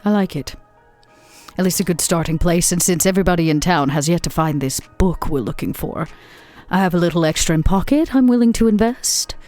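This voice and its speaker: A woman playing a shrewd, composed female vampire in a tabletop roleplay, speaking in measured, formal phrases. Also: on the low side, expressive, a little mellow, soft background music, natural voice. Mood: gracious, businesslike. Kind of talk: tabletop roleplay actual play.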